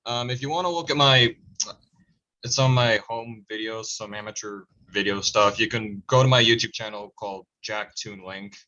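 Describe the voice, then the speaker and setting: Lofi call, guy w slightly low but sharp voice casually plugging his amateur stuff. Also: normal speed. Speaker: amateur voice actor. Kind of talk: casual self-introduction. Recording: dull lo-fi call audio.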